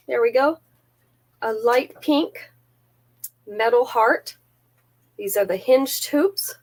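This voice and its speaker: Woman, slightly high voice, stuffy nose, chatty live seller, detailed descriptions, close camera mic. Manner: chatty, careful item-by-item descriptions.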